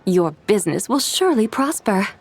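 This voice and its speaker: A woman playing a serene, courteous, literal-minded otherworldly lady in a video game, speaking in a formal, lofty, measured way. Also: medium pitch, big pitch swings. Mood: gracious.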